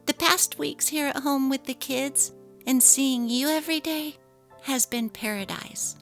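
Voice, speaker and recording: An earnest young mother, acted by a woman, speaks in a high, crisp-edged bright voice. She is resolute and emphatic, heartfelt in her dramatic story reading, with music playing softly behind. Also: measured pace.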